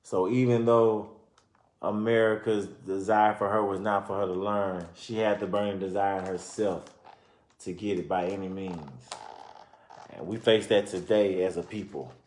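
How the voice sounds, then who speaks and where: Male storyteller, lower register, reads a picture book with informal asides; roomy, echoing sound. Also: fairly even intonation, darkish tone, a little hiss or hum. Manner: pauses to explain and comment to listeners. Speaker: reader presenting a children's book. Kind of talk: read-aloud of a children's book with informal commentary.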